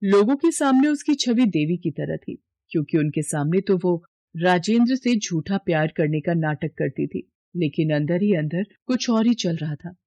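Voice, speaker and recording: A woman's voice: fairly low pitch, lively intonation, normal speed, mellow, dry recording. A woman reading a scripted dramatic story as its narrator.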